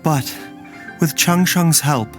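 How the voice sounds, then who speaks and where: A man playing a gentle, scholarly physician in a video game, measured, formal and soft-spoken. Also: a little high. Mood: calm, grave. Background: faint music.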